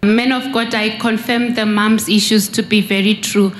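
A woman's voice: mid-range voice, fairly even intonation, moderate pace. Earnest woman testifying in church. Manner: earnest, confessional. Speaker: congregant. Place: large church hall. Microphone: handheld mic.

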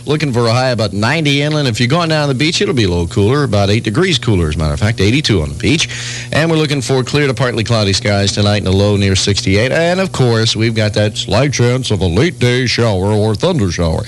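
A man's voice: medium pitch, lively intonation, fast, slight background noise. A man hosting a 1980s morning radio show, bantering and chatting as a DJ on an AM aircheck. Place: radio studio.

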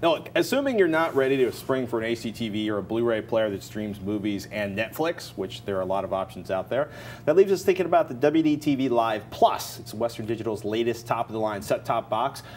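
A man's voice: medium pitch, animated, a little fast, a little mellow, faint music behind. An American man in his forties co-hosting a tech show, talking casually in a studio. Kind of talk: casual tech commentary and sponsor reads. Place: indoor TV studio.

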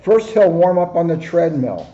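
A man in his sixties, a police officer, reading a picture book aloud with joking asides, in a high-pitched voice. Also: very animated, moderate pace, mellow. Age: 60s. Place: office, indoors. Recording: dull, lo-fi camera microphone.

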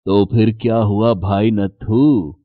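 A man voicing a gentle, fatherly middle-aged bangle maker in a cartoon story. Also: fairly low pitch, takes it slowly, dry recording, narrow, tinny audio. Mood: warm. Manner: calm, fatherly.

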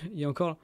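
A young man streaming a game, chatting casually with friends and laughing often. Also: no room sound. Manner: chatty, laughs often, scrolls through old messages. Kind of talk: casual voice chat while gaming.

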